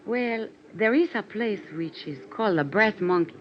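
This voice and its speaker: Actress as a lilting, coy Latin bar hostess. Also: female voice, medium pitch, normal speed, slight background noise, lightly acted. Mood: teasing. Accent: Latin American Spanish. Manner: broken English, lilting and expressive. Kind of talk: 1950s radio drama acting. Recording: dull vintage radio recording with music.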